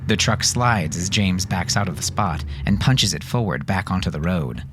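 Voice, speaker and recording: A man's voice: sits low, animated, moderate pace, noisy background. A man narrating scripted fiction dramatically, as an audiobook narrator.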